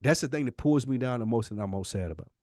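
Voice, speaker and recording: A man's voice: medium pitch, animated, fast, mellow, no echo, studio quality. An American man co-hosting a podcast, talking casually and candidly in an earnest, slangy way and repeating words for emphasis. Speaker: podcast co-host.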